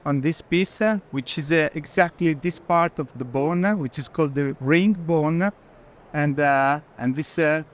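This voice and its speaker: A man speaking as a gallerist, informally explaining an artwork in a halting way with repeated words. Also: fairly high pitch.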